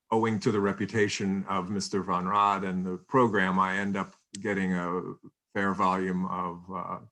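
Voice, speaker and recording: Deep flat-voiced American man. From United States. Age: middle-aged. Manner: measured, drawn-out phrasing. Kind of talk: self-introduction on video call.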